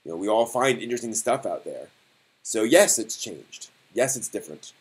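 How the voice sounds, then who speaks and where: A man hosting a casual live stream discussion, thinking aloud in a halting way and posing rhetorical questions. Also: fairly low pitch, animated, husky, low-quality recording.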